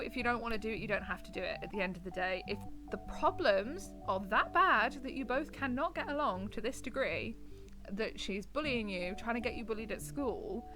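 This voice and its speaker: A woman's voice: mid-range voice, a bit bright. Chatty British woman with a cold. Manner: chatty, rambling asides. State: has a bad cold, a bit nasal. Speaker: host reading and commenting on online stories. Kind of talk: casual reading and commentary. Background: background music.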